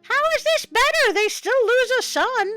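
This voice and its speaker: A woman's voice: very high-pitched, animated, normal speed, sharp tone, no room sound. A woman hosting a story show, reading a folk tale aloud with playful, wry asides. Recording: music bed under the voice. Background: music.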